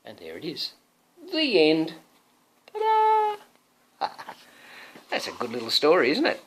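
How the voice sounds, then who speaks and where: A man reading a picture book to his young son, expressive, with coaxing asides to the child. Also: fairly high pitch, slow pace, slight background noise. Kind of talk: animated storybook read-aloud.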